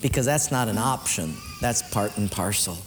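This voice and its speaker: A man preaching an earnest sermon as pastor in a church, swinging between lifted emphatic lines and hushed ones, then leading a prayer. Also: lowish voice, expressive, moderate pace, big-room sound, a little hiss or hum.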